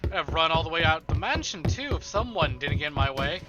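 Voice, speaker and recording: A fairly high-pitched man reads a visual novel aloud for a stream. His narration is theatrical and expressive, with clear game music playing behind him. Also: fairly quick, lo-fi sound. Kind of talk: dramatic first-person story narration with asides.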